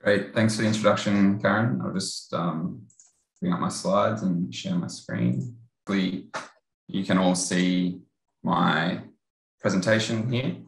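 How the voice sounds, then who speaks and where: A man in his thirties with an Australian accent, giving a relaxed online research talk over a video call. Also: sits low, vocal fry, roomy sound, rough recording. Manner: relaxed, conversational, with filler pauses. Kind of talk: online lecture presentation. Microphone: earbud headset on video call.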